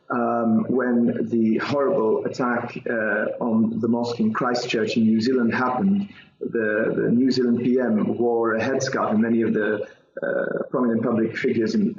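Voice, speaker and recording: A man from Belgium, a panel guest speaking over a video call in a measured, hesitant way with many fillers. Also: mid-range voice, a little mellow. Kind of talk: remote panel discussion. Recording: roomy, dull audio.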